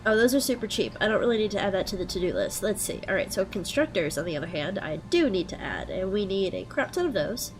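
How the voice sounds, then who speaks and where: A young woman with a head cold and a stuffed-up nose, chatting casually through gameplay and thinking out loud with plenty of ums. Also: medium pitch, quick pace, hardly any pauses, soft background music, poor audio. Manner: relaxed, thinking aloud with frequent ums. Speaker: let's play host.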